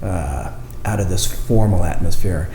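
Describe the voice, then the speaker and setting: A man's voice: sits low, a little slow, mellow. A middle-aged man who grew up in Taiwan and Los Angeles, talking earnestly as a musician guest in an interview, often repeating words. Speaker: guest saxophonist and teacher. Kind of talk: casual interview talk. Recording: echoey room with faint noise.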